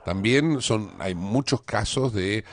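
A man's voice: lowish voice, slow. A middle-aged man with an Argentine accent hosting a radio interview in a studio, asking probing questions with hesitant fillers. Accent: Argentine Spanish. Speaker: radio host. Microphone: studio boom mic.

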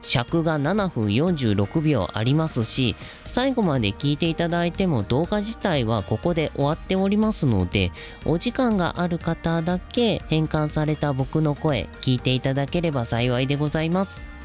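A man's voice: on the high side, sing-song, moderate pace, few pauses, a little mellow. A man of thirty-one with a youthful, feminine-sounding voice, presenting a scripted explainer politely to viewers. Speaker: video host. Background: background music.

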